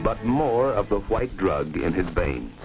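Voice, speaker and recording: A man's voice: deep voice, big pitch swings, a little slow, over music, rough recording. A man reading a scripted 1950s educational film narration in a grave, dramatic manner on a vintage soundtrack. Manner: grave, stark, dramatic delivery.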